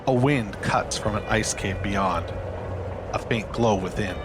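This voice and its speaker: A man's voice: on the low side, measured pace, over music. A man giving scripted narration of a screenplay, reading scene headings and action as a narrator.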